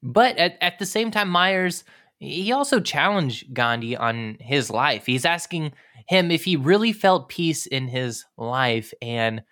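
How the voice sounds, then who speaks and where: A man's voice: on the high side, lively intonation, takes it slowly, bright, forceful tone. A man hosting a conversational history podcast, talking informally and with enthusiasm. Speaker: podcast host.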